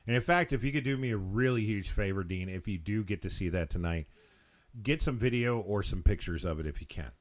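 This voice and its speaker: An American man hosting a live call-in show, talking casually in a rambling, halting, informal way. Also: fairly low pitch. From Texas, United States.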